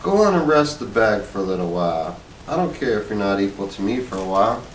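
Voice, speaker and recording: A man voicing a simple, sweet, chatty middle-aged wife with folksy, drawn-out phrasing in a storybook reading. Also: fairly low pitch, sing-song. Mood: coaxing, concerned. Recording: roomy, distant mic with faint noise.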